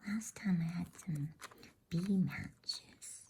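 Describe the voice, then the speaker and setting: A woman whispering softly in a gentle, unhurried, hushed ASMR style as she hosts and reads a bedtime story. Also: fairly low pitch, noisy background. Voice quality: breathy, soft whisper. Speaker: host and reader of a bedtime story channel.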